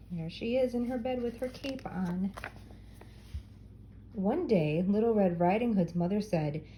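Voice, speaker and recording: An older woman reads a children's story aloud. Her tone is very mellow and dark, at a middling pitch, in the role of storyteller. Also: slight background noise, low-quality recording. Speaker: storyteller grandmother reading to children.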